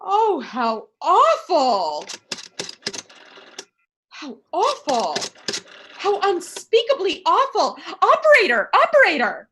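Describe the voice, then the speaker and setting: Woman acting high-voiced, fretful, demanding housewife. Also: huge pitch swings, takes it slowly, long pauses, background noise, full character voice. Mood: horrified, frantic. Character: nervous, frail, imperious housewife, increasingly frantic. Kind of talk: radio play acting.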